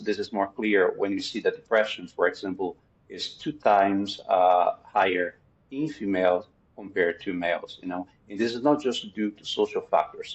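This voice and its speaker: A man's voice: low-pitched, lo-fi sound. A man from Brazil with a Brazilian Portuguese accent, a psychiatrist guest in a podcast interview, explaining his background earnestly with many "uh" fillers.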